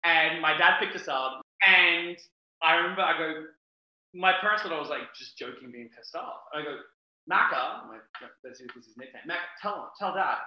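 A man's voice: on the high side, moderate pace, heavy reverb, muffled recording. A man with an Australian accent chatting casually as a podcast guest, telling personal stories in an emphatic, theatrical way. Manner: theatrical, emphatic, repeats words for effect. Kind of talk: casual chat, animated personal storytelling.

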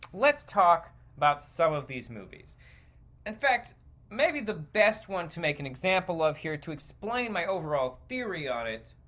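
A man's voice: high-pitched, bright, forceful tone. A man hosting a podcast, giving casual, rambling, opinionated solo commentary in a conversational way. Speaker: podcast host.

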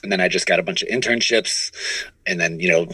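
A middle-aged man with a fairly low yet bright, forceful voice, recorded dry. He speaks as an interview guest, recounting things in a loose, conversational storytelling style. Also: fast talker, husky, dry recording, low-quality recording. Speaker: interview guest, comedy writer and voice performer.

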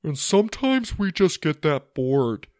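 A man acts out a big, hairy Canadian sled-puller from a children's story. He speaks in a matter-of-fact way, pitched up, with a dry sound. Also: very animated, a little slow, darkish tone, no room sound. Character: big hairy Canadian friend who pulls sleds, flatly matter-of-fact.

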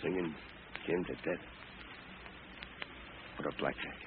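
A man's voice: a bass voice, steady tone, takes it slowly. A man acting a tough, surly, hard-boiled gangster in an old radio crime drama. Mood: beaten, sullen. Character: Spike, tough sneering male gangster killer. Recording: noisy, tinny old radio broadcast recording.

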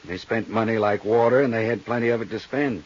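Casting: chatty middle-aged American male accountant, somewhat deep husky voice, folksy and rambling, muffled vintage radio sound. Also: fairly quick. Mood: chatty, explaining. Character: big, easygoing, chatty male accountant in his late forties. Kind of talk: 1950s radio drama acting. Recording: dull, muffled 1950s radio recording.

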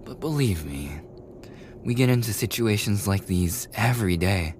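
A man playing a smug, menacing mafia prince in an immersive roleplay audio drama, possessive and coolly charming, with deliberate teasing threats and breathy murmurs. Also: on the low side, expressive, close to an everyday voice. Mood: soothing. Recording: clearly noisy background ambience.

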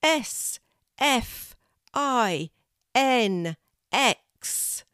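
A woman's voice: on the low side, lively intonation, a little slow, darkish tone, dry recording, clean studio sound. A woman voicing an eager, earnest young girl in a children's storybook, careful and a little unsure.

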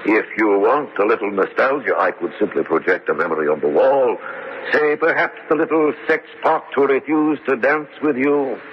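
An actor playing a theatrical villainous baron in a campy horror radio drama, mock-genial and florid, given to cackling. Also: male voice, medium pitch, moderate pace, lightly acted. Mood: gleeful nostalgia. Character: gloating, theatrical villainous male baron with supernatural willpower. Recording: dull, faintly noisy old radio recording.

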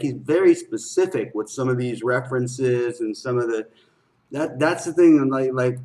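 A man's voice: mid-range voice, a little mellow. Man reacting to music, repeating himself. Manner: repeats phrases emphatically, slangy. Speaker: reaction video host. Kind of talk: casual music reaction commentary.

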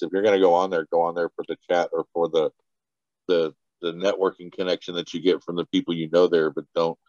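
A man's voice: low voice, a little mellow, dry recording, muffled recording. A man from Texas, a guest on a podcast, talking casually and rambling with many restarts and laughs. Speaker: guest, party organizer.

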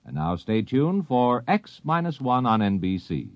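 A man's voice: medium pitch, sing-song, unhurried, no echo, soft background music. A man reading scripted promos as a 1950s network radio announcer, polished and emphatic. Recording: dull, dry vintage radio recording.

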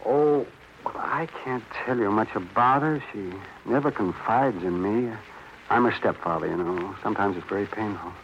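An actor with an American accent playing a fussy, genial middle-aged dentist in an old-time radio crime drama, polite and hesitant. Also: male voice, lowish voice, moderate pace, close to an everyday voice. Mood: uneasy. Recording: muffled noisy old radio recording.

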